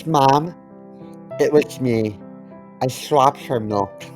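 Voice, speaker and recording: Guy cohost reading story lines slow n halting, normal pitch, music behind. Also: a little mellow. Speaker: podcast co-host.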